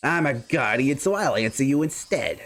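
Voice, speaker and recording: Man playing a menacing abusive uncle, snarling then sickly sweet, wide swings in pitch. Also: expressive, normal speed, fairly bright tone. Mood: hostile. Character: abusive middle-aged man, volatile and menacing.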